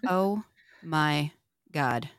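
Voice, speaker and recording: A woman reading the part of a dejected, dry young female slayer at a table read, in a deadpan manner. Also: low-pitched, drawn-out words, clean tone, no room sound, heavily acted. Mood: deadpan, wary. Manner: deadpan, downbeat. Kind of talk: podcast table read of TV script.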